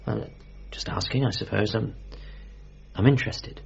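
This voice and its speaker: A man's voice: lowish voice, moderate pace, gravelly, slightly rough, lo-fi sound, heavily acted. An actor playing an anxious, awkward son in a radio comedy drama, stammering and flustered as he talks. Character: anxious, awkward bereaved son, excitable and sarcastic.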